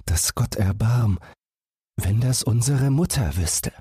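A weary little boy from a storybook, acted by a man. His voice is lifted and breathy. He speaks earnestly and plaintively, with a determined streak. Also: mid-range voice, expressive, slow pace, mellow, dry recording, studio quality, pitched above the performer's own voice, exaggerated voice. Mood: downcast.

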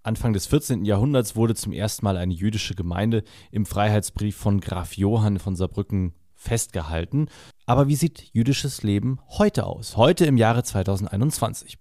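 Low, gravelly male podcast host. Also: moderate pace, darkish tone, no room sound, studio quality. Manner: read-aloud, announcing. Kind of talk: scripted podcast intro.

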